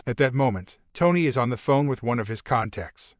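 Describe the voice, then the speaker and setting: A man's voice: medium pitch, animated, brisk, music in the background. A man narrating a scripted story as the storyteller, recorded close to the microphone.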